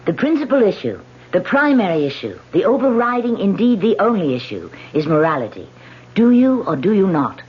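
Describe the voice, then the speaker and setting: A woman's voice: mid-range voice, sing-song, a little fast. An actress playing a principled woman in her thirties who is running for Congress, speaking earnestly and sometimes with indignation. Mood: declaiming. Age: 30s. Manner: earnest, indignant, crisp diction. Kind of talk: 1970s radio drama acting. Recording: lo-fi 1970s radio broadcast.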